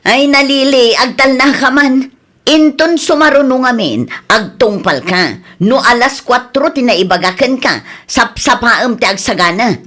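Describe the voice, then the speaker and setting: A woman's voice: fairly high pitch, lively intonation, unhurried, fairly bright tone. A woman acting in an Ilocano comedy radio drama as an exasperated older female cousin who scolds latecomers, with an Ilocano accent. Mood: scolding.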